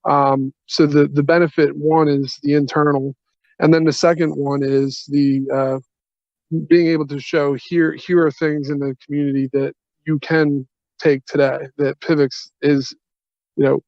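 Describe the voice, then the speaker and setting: A man explaining a business pitch on a conference call, halting and long-winded, in earnest phrasing. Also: little pitch movement, darkish tone. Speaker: software company representative pitching a partnership. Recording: dull, echoey conference call audio.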